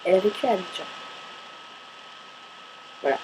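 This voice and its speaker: A woman's voice: medium pitch, animated, normal speed, noisy background. A woman from Paris chatting casually to camera as she answers viewer questions, chatty and self-mocking, swearing casually and arguing back and forth with herself. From Paris, France. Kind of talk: casual FAQ chat to camera.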